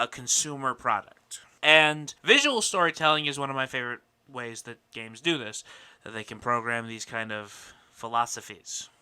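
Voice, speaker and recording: Bright, clear-voiced male podcast host. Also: mid-range voice. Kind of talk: casual opinionated podcast talk.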